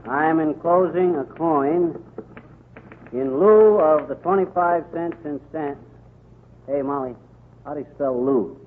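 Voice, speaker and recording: A man's voice: on the high side, lively intonation, takes it slowly, vocal fry, pauses often, exaggerated voice. A man playing a cocky, gullible, folksy husband in a 1930s radio comedy. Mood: self-satisfied. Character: cocky, gullible middle-aged husband, small-town blowhard. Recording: tinny, noisy 1930s radio broadcast.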